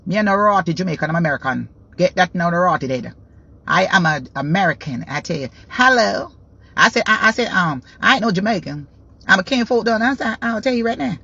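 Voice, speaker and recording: A woman voicing a defiant woman who insists over and over that she is American, in a lower, dismissive tone. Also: animated, a little fast, bright, forceful tone, breathy edge, muffled recording, full character voice.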